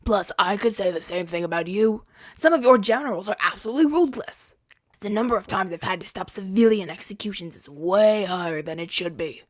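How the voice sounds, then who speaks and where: A man's voice: high voice, a little fast, dry recording. A man playing an earnest, defensive hero of a fantasy rebellion in an audio roleplay, arguing in a conversational, stammering, exasperated way. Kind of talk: ASMR-style audio roleplay acting.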